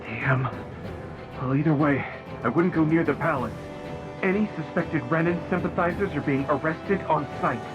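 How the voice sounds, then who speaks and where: Guy doing urgent loyal young soldier in a game, highish voice, bit dull audio w music. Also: normal speed, close to an everyday voice. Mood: grim warning. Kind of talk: dramatic video game voice acting.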